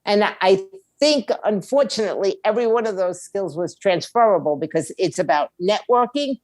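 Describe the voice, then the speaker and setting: A woman's voice: medium pitch, animated, moderate pace, a little mellow, no room sound. A middle-aged woman giving candid, plain-spoken advice as an expert guest in a conversational interview. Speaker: guest, music industry attorney.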